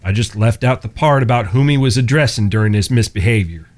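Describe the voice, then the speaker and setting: An actor playing a chivalrous, steely blind cowboy with an in an audio drama. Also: male voice, lowish voice, darkish tone, lightly acted. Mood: probing. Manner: courteous, measured, earnest. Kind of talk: Western audio drama acting.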